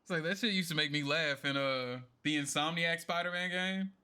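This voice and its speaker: A man's voice: a little high, moderate pace, pushed and bright, smooth. A man giving casual live stream commentary on a game, joking and swearing as he rambles through his opinions.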